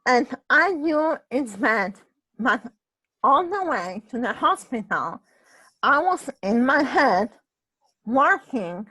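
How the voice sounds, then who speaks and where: A woman from Poland with a Polish accent and a husky voice, telling a personal story in casual chat, halting and restarting her phrases and often adding "right?". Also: sits high, sharp tone, no echo, low-quality recording. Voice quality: husky voice, ongoing voice problem.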